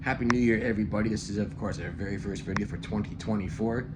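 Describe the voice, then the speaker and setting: A hoarse man giving a relaxed, rambling walkthrough of his half-renovated basement, chuckling as he chats to his viewers. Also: fairly low pitch, fast talker, echoey room, background noise. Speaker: vlogger. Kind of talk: casual walkthrough chat. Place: home basement under renovation.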